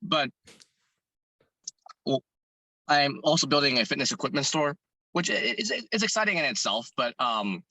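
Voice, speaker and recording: Hoarse man, bright and earnest. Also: medium pitch, lively intonation, normal speed, pauses often, no echo, low-quality recording. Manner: earnest, thinking aloud with many restarts. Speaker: fitness content creator and business owner. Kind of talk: interview guest answering questions.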